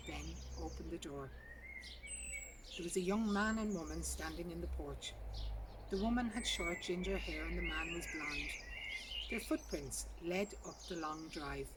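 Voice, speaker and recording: A woman reads a short story aloud as scripted narration, recorded outdoors in a garden. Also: fairly low pitch. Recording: distant mic, outdoor noise, birdsong. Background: birdsong and outdoor ambience.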